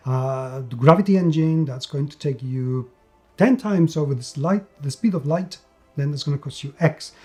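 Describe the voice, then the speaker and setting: A man's voice: fairly high pitch, mellow. A man giving an enthusiastic, unscripted game review, emphatic and repeating words for stress.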